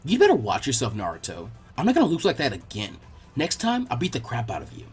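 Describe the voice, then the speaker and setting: Man voicing a sly, husky teenage ninja girl. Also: medium pitch, huge pitch swings, fast, soft background music, voice pushed down, heavily acted. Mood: defiant. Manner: teasing, sly.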